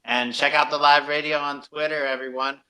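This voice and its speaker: A man's voice: on the high side, level tone, moderate pace, bright, forceful tone, low-quality recording. A man hosting a podcast in casual, upbeat, slangy talk. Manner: laid-back slangy, upbeat. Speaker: podcast and radio host. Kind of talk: casual chat.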